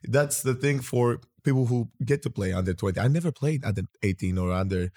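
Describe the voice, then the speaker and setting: A man's voice: mid-range voice, a little fast, no room sound, studio quality. A man in his thirties from Greece, with a Greek accent, hosting a casual interview and rambling through his stories with frequent restarts. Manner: relaxed, rambling, restarts often. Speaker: podcast host.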